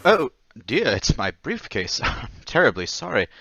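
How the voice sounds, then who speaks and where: A man's voice: medium pitch, very animated, unhurried, gravelly, sharp tone, husky, highs cut off, full character voice. An actor playing a meek, forgetful former travelling salesman in old-time radio drama style, hesitant, apologetic and fretful. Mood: apologetic, flustered.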